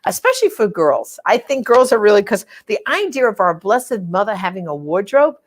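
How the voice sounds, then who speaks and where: An older woman hosting, talking casually to her audience about a recommendation in a halting, conversational way. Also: medium pitch, very animated. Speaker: host. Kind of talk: casual promotional talk to audience.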